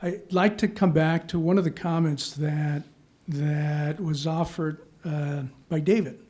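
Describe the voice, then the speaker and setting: Male panel moderator; thoughtful, measured questions, slightly high-pitched. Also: fairly even intonation, a little mellow. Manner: measured questioning, checks in with "okay?".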